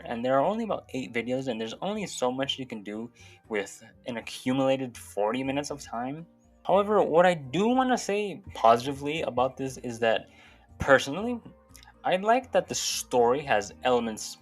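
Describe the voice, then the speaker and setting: A man giving casual, opinionated video commentary in an informal, sweary way. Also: mid-range voice. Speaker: YouTube commentator. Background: background music.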